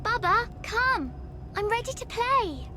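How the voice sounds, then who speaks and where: A woman's voice: extremely high pitch, sing-song, takes it slowly, background noise, full character voice. A woman playing an eager, pleading young child in a video game.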